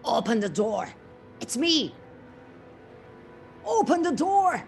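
A woman with a non-native English accent voicing a bullying storybook wolf who shouts threats and drags out words. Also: high-pitched, sing-song, slow pace, vocal fry, faint music behind, pitched above the performer's own voice, full character voice. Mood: menacing. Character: big bad male wolf, menacing, bullying storybook villain.

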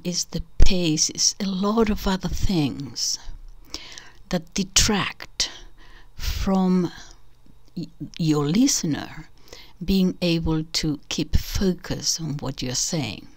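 An older woman with a Spanish accent, speaking in a discursive, casual way to her online audience, restarting and correcting herself and often dropping to a soft whispery aside. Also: lowish voice, slow pace. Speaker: channel host.

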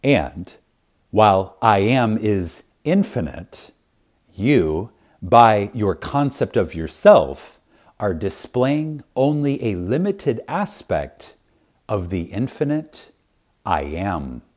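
Slow, expressive man reading reverently. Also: on the low side. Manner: reverent, deliberate, emphatic with dramatic pauses. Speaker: audiobook narrator. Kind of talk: scripted audiobook reading of a spiritual text.

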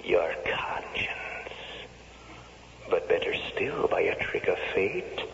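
An actor playing a sly, reproachful ghost in a 1970s radio drama, speaking with slow, insinuating deliberation. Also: male voice, deep voice, very animated, gravelly, a bit bright, exaggerated voice. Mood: coaxing. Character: ghost of a slain Irishman, sly, reproachful tempter. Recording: echoing, noisy, muffled old radio broadcast.

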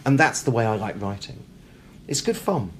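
A man's voice: medium pitch, sing-song, normal speed. A man from Britain, an author, talking reflectively and animatedly in an interview, emphatically acting out imagined questions and answers. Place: hotel. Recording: background room noise.

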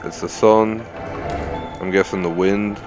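A man's voice: low voice, steady tone, a little slow. A man streaming a game with casual, laid-back commentary and plenty of swearing. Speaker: gaming channel streamer. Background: video game music and sound effects.

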